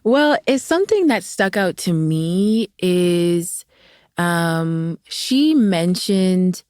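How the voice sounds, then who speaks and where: A woman, a meditation teacher, giving calm, earnest advice on a podcast. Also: fairly low pitch, extremely slow, clean tone, no echo, clean studio sound. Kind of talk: casual advice podcast chat.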